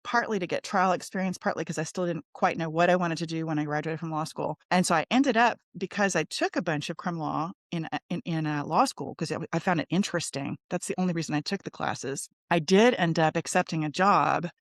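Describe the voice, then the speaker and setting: A woman's voice: low-pitched, brisk, hardly any pauses, no echo, clean studio sound. A middle-aged woman with an American accent tells her story in a candid, informal interview style. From Texas, United States. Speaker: podcast guest, lawyer and coach.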